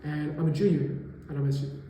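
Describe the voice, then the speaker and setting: A young man in his twenties, a college student from New York, answering interview questions thoughtfully and pausing to find his words. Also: a little high, fairly even intonation, dark tone, a little hiss or hum. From New York area, US. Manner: thoughtful, pauses to search for words. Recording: echoey room with long reverb.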